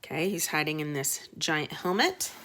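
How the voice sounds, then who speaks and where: A woman teacher reading a storybook aloud to children, expressive and dramatic, with short explanatory asides. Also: low voice, moderate pace, slight background noise.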